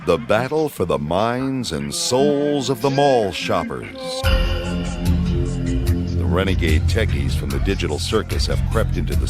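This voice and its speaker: A man narrating a radio drama as a wry storyteller, with deliberate, theatrical delivery. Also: deep voice, heavily acted.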